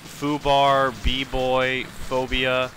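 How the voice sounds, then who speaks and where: A man chatting casually on a game stream in a halting, informal way. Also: medium pitch, completely flat delivery. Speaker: guest on a game livestream. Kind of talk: casual chat.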